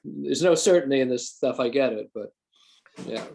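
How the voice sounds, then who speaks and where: A man attending an investor webinar who asks casual questions, halting and repeating words as he goes. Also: mid-range voice, creaky, a little mellow, a little hiss or hum, rough recording. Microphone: video call.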